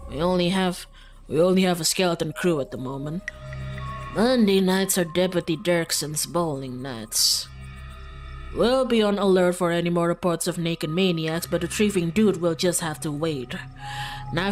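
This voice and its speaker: A woman's voice: fairly low pitch, lightly acted. A woman voicing a folksy, dismissive male small-town police officer in a game, with a drawling, condescending, officious manner.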